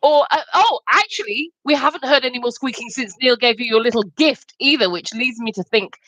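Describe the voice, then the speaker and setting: Woman from London, cheeky co-host, lively higher voice, dry close recording. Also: brisk, no echo, poor audio. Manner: cheeky, laughs often, teasing. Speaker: livestream co-host. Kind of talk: casual banter.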